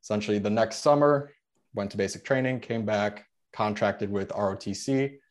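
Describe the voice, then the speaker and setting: A man in his twenties from the Chicago suburbs, whose first language is Polish, telling his story as a podcast guest in a relaxed way with frequent fillers. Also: lowish voice, normal speed. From Chicago suburbs, USA. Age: 20s. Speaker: guest, veteran and real estate investor. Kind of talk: podcast interview.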